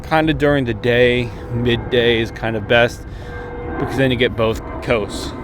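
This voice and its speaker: An American man in his thirties talks casually and directly to his viewers, offering tips in a conversational, slightly hedging way. His voice sits at an average male pitch. It is recorded outdoors on a camera at arm's length, with faint urban and vehicle noise behind him. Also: steady tone, unhurried. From United States. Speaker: amateur radio vlogger. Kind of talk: casual instructional vlog to camera. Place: outdoors, open park path beside water. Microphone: camera held at arm's length. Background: jets, vehicles, urban outdoor noise.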